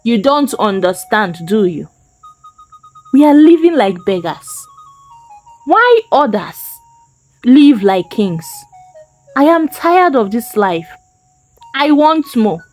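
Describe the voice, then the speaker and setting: A woman's voice: a little high, sing-song, slow, long pauses, darkish tone, faint music behind. A woman storyteller voicing a greedy, bitter young farmer husband with a harsh, insistent manner in a folktale. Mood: cold, frustrated. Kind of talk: storytelling character voice.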